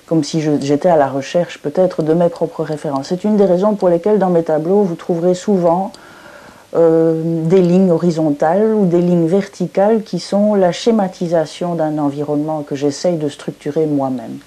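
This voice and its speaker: A middle-aged woman from Belgium, an artist interviewed on television, speaking in a thoughtful, measured way and searching for her words. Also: fairly low pitch, fairly even intonation, dark tone. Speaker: painter and philosopher interviewed.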